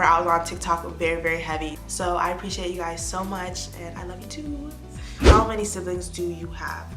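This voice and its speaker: A young American woman in her twenties chatting casually to the camera as an influencer, answering fan questions with animated bursts of excitement. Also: fairly low pitch, roomy sound. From United States. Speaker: lifestyle influencer. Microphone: phone or tablet camera.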